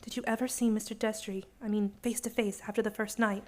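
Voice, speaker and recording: A woman's voice: medium pitch, flat delivery, fast talker, lightly acted. An actress playing a worried, loyal young woman in a suspense radio play, earnest and concerned. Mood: hushed, frightened.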